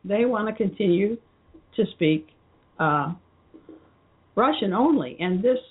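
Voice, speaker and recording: A woman hosting her own show, talking through an unscripted commentary in a halting way with many fillers and restarts. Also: mid-range voice, animated, slightly rough. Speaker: show host. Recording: muffled phone-line audio.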